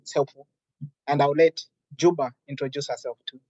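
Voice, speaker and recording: A young man from Malawi leading a workshop, introducing himself in a relaxed, chatty way. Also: a little high, a little fast, dry recording, dull audio. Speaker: workshop facilitator. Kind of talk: friendly classroom introduction.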